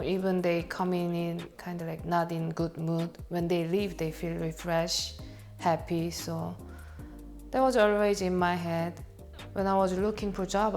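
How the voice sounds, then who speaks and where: A woman speaking English as a second language with a Korean accent, telling her story as a barber in a documentary interview, in halting phrasing and laughing at herself. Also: fairly low pitch, vocal fry, darkish tone.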